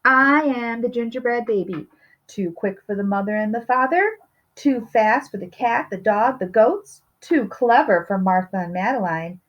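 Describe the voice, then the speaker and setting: A woman's voice: on the high side, lively intonation, moderate pace, muffled recording, pitched above the performer's own voice. A woman voicing a cheeky, boastful little gingerbread baby boy from a storybook, with a sing-song taunting manner.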